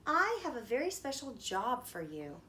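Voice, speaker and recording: A woman voicing a kind, gentle mother in a storybook reading. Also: on the high side, big pitch swings, moderate pace, slight background noise, pitched above the performer's own voice. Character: kind mother giving a task.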